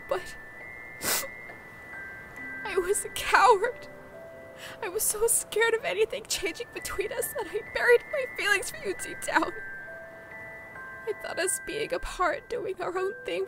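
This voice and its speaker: A woman playing a tearful high-voiced heroine. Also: a little slow, long pauses. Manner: emotional, pleading, tearful. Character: young woman, remorseful heroine. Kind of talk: fan audio drama acting. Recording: music underneath.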